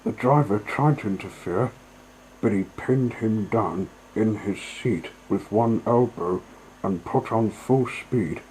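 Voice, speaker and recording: A man's voice: on the low side, slow pace, a little mellow, a little hiss or hum, poor audio. A man narrating a storybook as a storyteller, reading from a scripted text.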